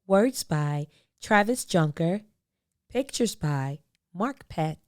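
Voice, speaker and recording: A woman reading a children's storybook aloud, expressively, with deliberate phrasing and dramatic pauses. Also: lowish voice, animated, a little mellow, no echo, clean studio sound. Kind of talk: expressive picture-book read-aloud.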